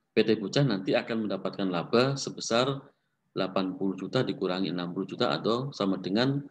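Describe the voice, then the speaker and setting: A man with an Indonesian accent giving an explanatory lecture, working through figures step by step and punctuating points with short check-in words. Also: lowish voice. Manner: didactic, often checking with a short tag word. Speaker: accounting lecturer.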